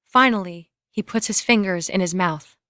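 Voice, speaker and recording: A woman's voice: mid-range voice, moderate pace, pushed and bright, dry recording, studio quality. A woman narrating scripted screenplay scene directions in a matter-of-fact way.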